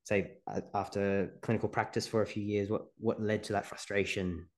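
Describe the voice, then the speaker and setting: A man's voice: on the low side, level tone, normal speed, darkish tone. A man hosting an interview podcast, upbeat and encouraging toward his guest. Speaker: podcast host.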